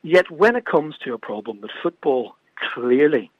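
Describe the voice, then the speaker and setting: A man's voice: a little high, animated, normal speed, breathy edge, dry recording. A man speaking as a guest on a radio talk show, passionate and emphatic, often repeating words as he presses his point. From from across the water from Scotland. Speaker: guest from an organisation. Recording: phone-like dry radio line.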